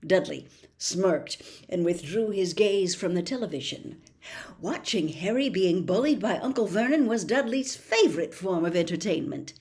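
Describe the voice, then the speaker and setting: A woman reading a story aloud as expressive audiobook narration. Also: on the low side, moderate pace. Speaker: audiobook reader.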